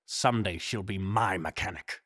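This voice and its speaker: A man's voice: sits low, normal speed, husky, no echo, studio quality. A man playing a sneering, sadistic racing villain in a video game, smug and cutting. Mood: gloating. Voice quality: sharp, smug.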